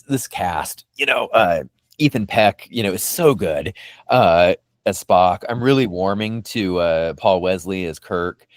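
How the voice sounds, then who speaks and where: Low-voiced man chatting on a podcast. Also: no echo, clean studio sound. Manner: hesitant, meandering, hedging delivery. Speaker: podcast host. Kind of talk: casual fan discussion.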